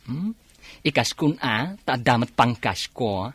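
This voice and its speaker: A woman's voice: rumbling low, lively intonation, unhurried, slightly rough, dry recording, full character voice. A woman playing a blunt, sassy village beauty parlor owner in a comedy radio drama, with an Ilocano accent. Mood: friendly.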